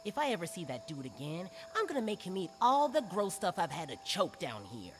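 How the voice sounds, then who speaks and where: A man's voice: high-pitched, wildly swooping pitch, a little fast, a little hiss or hum, heavily acted. An actor playing a sarcastic, weary teenage boy in a video game, talking in wry slang. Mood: grumbling. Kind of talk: video game character chatter. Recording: chirping insect ambience. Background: crickets and insects.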